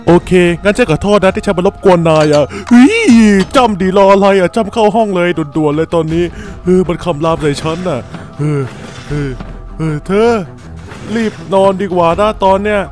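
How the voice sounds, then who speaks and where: Casting: high-voiced man, colloquial and exclamatory, improvised game roleplay, music underneath. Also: normal speed. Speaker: creator voicing characters in a Minecraft roleplay video.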